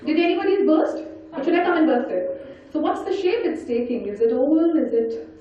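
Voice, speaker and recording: A woman giving an interactive storytelling talk, animated and coaxing as she puts questions and instructions to her listeners. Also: high-pitched, fairly quick, faint music behind. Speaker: storyteller and speaker leading an audience activity. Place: large indoor event hall. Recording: very echoey hall, distant mic, dull sound.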